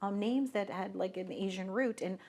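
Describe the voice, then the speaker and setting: A woman's voice: mid-range voice, moderate pace, darkish tone. A woman hosting a talk show, interviewing guests in an enthusiastic, talkative way and often tossing in "right?". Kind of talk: friendly interview chat.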